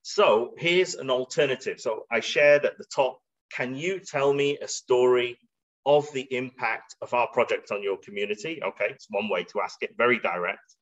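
A man's voice: medium pitch, normal speed, fairly bright tone. Man presenting a webinar, dull call audio. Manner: conversational, hesitant, thinking aloud with rhetorical examples. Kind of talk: informal explanatory webinar talk with slides. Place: indoor room. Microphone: video call. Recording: dull, band-limited video call audio.

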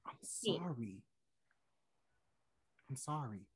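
A man's voice: medium pitch, animated, extremely slow, breathy edge, full character voice. A young man playing a regretful, closeted touring musician, earnest and hesitant as he pleads to reconcile in a play read over video call. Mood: apologetic, confessional. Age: young adult. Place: small room at home, indoors.

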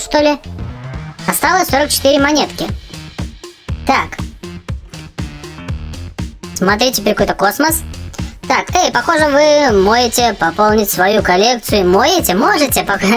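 An excitable gaming host giving casual gameplay commentary for children, often exclaiming and addressing viewers. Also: mid-range voice. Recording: music behind the voice.

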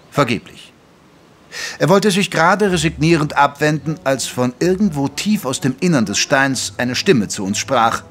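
An actor playing a detective's gentlemanly doctor companion, telling the story in wry, literate, dramatic narration. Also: male voice, medium pitch, slightly rough.

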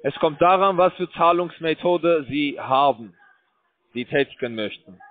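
A man calling from a scam call center, speaking broken full of fillers as he pushes his pitch. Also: a little slow. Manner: broken German, filler-heavy, pushy salesman. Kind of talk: phone call. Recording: tinny phone line.

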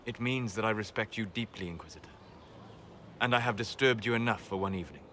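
A man playing a calm, reserved, scholarly elf mage in a video game, speaking formally in deliberate, measured phrases. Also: fairly low pitch, slight background noise, close to an everyday voice. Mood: earnest, thoughtful. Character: calm, scholarly, reserved elven mage, admiring yet condescending. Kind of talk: video game dialogue acting.

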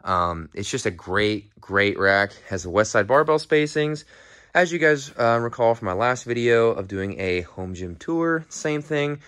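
A man's voice: mid-range voice, unhurried, fairly bright tone, clean tone, dry recording, studio quality. A young American man chatting casually and off the cuff as a fitness vlogger, in a laid-back, rambling, slangy way. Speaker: fitness vlogger giving a home gym tour. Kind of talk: casual off-the-cuff vlog chat. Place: indoor metal-walled garage-shop gym. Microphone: handheld phone held behind the camera view.

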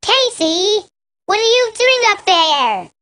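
A woman's voice: extremely high pitch, very animated, takes it slowly, a bit bright, exaggerated voice. A woman voicing a confident, bossy young girl animal in a children's learning game. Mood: knowing. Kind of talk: children's educational game acting.

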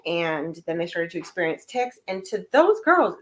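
A woman's voice: fairly low pitch, very animated, normal speed. A woman talking as a guest on a home panel chat, explaining things thoughtfully with many fillers. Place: living room, indoors.

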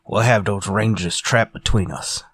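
An actor playing a ruthless, menacing outlaw gang boss in a radio western, with a gravelly, raspy voice and commanding manner. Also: male voice, fairly low pitch, measured pace, a bit bright. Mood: cold, menacing. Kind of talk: old-time radio western acting.

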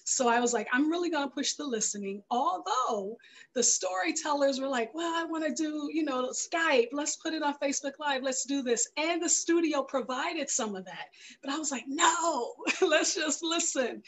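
A woman's voice: sits high, normal speed, fairly bright tone, a little hiss or hum. A middle-aged woman guest telling her story casually in a video-call interview, often acting out what was said. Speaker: guest filmmaker. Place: indoor room at home, video call. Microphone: headset on a video call.

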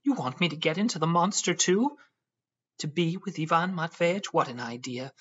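A man's voice: fairly high pitch, animated, normal speed, fairly bright tone, breathy edge, lo-fi sound, voice pushed up. A man voicing a petulant, flighty young wife, raising his voice into a fretful, whiny delivery. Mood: indignant.

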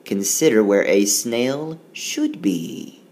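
A male teacher recorded over a tablet screencast, reading rhymes aloud in a slow, unhurried way. His pitch swings widely as he reads, then settles as he explains the counting. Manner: slow, sing-song rhyming read-aloud with lively pitch. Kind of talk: reading a picture book aloud and explaining math.

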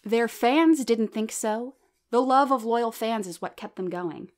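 A woman's voice: fairly high pitch, measured pace, lightly acted. A woman playing an upbeat, kindly comedian in an audio drama, supportive and sincere in manner. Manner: enthusiastic, sincere.

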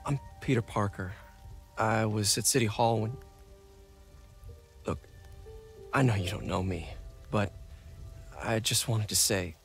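A man's voice: fairly low pitch, unhurried, creaky, long pauses, slightly rough, faint music behind, heavily acted. A young man playing an earnest, slightly awkward, caring character in a video game cutscene. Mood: awkward, sympathetic. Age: young adult.

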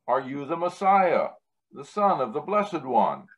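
A man reading the part of an indignant, interrogating high priest in an amateur church dramatic reading. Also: very animated, unhurried. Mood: stern questioning.

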